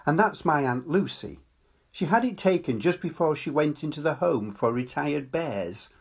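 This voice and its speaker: An older man voicing a small, polite male bear in a storybook reading, with a husky voice. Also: a little high, animated, normal speed.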